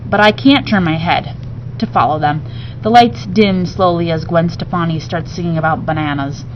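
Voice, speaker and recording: A woman narrating a children's novel aloud in an expressive read-aloud style, like a teacher. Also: fairly low pitch. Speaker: teacher reading a book aloud. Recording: muffled home recording, faint background noise.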